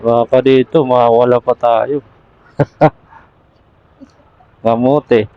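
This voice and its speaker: A man's voice: mid-range voice, steady tone, very slow, smooth, lo-fi sound. A man chatting casually as a motorcycle vlogger through a helmet intercom while riding. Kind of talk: casual riding vlog chat. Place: outdoors, riding a motorcycle in traffic.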